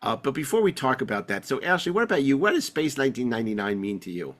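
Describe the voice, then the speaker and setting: A middle-aged man with an American accent hosts a casual fan podcast. His pitch sits in the middle, and he rambles along with open enthusiasm. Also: sing-song, quick pace, a bit bright. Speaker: podcast host.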